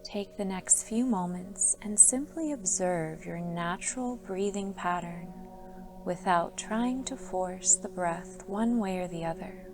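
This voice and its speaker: A woman yoga instructor leading a guided sleep meditation, giving soft, soothing instructions with calm pauses. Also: on the low side. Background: soft background music.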